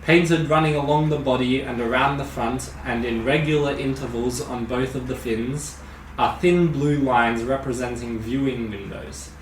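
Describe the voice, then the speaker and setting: A man's voice: medium pitch, fairly even intonation, normal speed, echoey room, distant mic, slight background noise, rough recording. A man narrating and describing scenes as game master of a tabletop game. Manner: descriptive storytelling, laughs with players.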